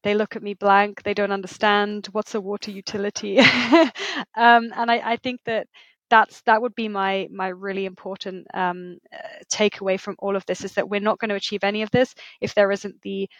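A woman's voice: mid-range voice, flat delivery, moderate pace, fairly bright tone, no echo, highs cut off. A woman speaking as an expert guest in a conversational interview, explaining things and often checking with a tag question. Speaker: interview guest, industry editor.

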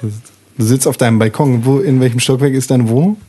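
A man's voice: mid-range voice, fairly even intonation, fast, dark tone, breathy edge. A man casually explaining the rules and guiding the story of a tabletop role-playing game as its moderator.

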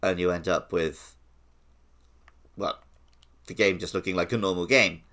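Male podcast co-host, slightly low voice, informal and conversational, discussing video games casually. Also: steady tone, unhurried, a bit bright.

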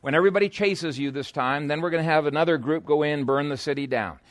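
A man's voice: on the high side, quick pace, dry recording. A man preaching an expository sermon in a conversational, explanatory way, moving between scripture reading and informal asides.

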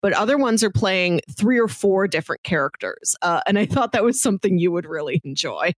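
A woman's voice: medium pitch, animated, a little fast, no room sound. A woman co-hosting a casual film discussion, explaining things in an articulate, detailed way. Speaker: podcast co-host.

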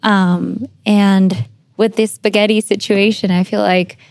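A woman's voice: mid-range voice, flat delivery, a little slow, creaky, darkish tone, no room sound. A woman with a mid-range voice hosts a casual conversation. She sounds earnest and reflective, speaking personally. The recording is dry, with very little room around her voice. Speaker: podcast host.

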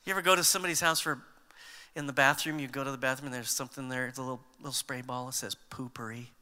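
A man in his fifties preaching a sermon as a pastor, conversational and animated, mixing scripture with humor. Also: mid-range voice, very fast, smooth, big-room sound.

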